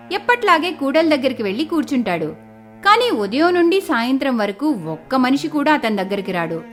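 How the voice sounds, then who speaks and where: A woman narrates a scripted folk story. Her voice is very high, with animated rises and falls. Faint music runs underneath her expressive delivery.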